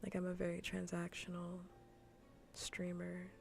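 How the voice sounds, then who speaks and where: A woman livestreamer chatting in a soft, breathy ASMR whisper, casual, teasing and blunt, close to the microphone. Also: lowish voice, monotone, a little slow, faint music behind. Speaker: livestreamer playing a game. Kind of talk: whispered ASMR chat while gaming.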